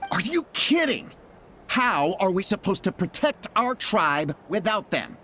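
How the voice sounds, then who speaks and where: Animated, indignant young squad member. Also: male voice, sits high, unhurried, a little hiss or hum, full character voice. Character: indignant, loyal flying-squad member defending beast partners. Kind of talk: video game voice acting.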